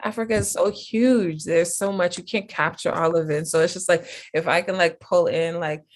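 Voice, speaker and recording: A woman's voice: on the low side, steady tone, moderate pace. An American woman hosting a casual interview, rambling questions filled with "like" and "um". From United States. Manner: rambling, heavy use of "like". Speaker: interviewer host.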